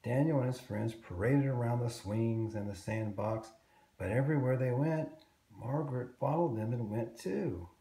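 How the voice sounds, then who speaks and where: A man reading a children's story aloud, expressively and deliberately, drawing out words for young listeners. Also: medium pitch, warm tone, roomy sound, rough recording.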